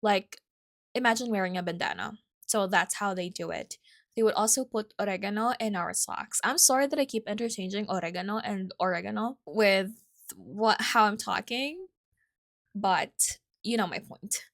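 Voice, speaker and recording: A woman's voice: mid-range voice, normal speed. A young woman from the Philippines with a Filipino accent, hosting a casual solo podcast in a chatty way, often correcting herself and adding asides. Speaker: podcast host.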